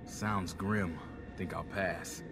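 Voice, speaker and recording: A man's voice: deep voice, steady tone, extremely slow, creaky, music in the background, heavily acted. A man playing a savvy, self-interested tech operative in a video game, talking in a wry, slangy, casual way. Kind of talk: video game cutscene acting.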